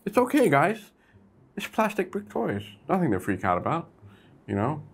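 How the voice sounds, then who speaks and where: A man hosting a casual toy review vlog, chatty and easygoing, with sudden bursts of excitement. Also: a little high, moderate pace, slightly rough.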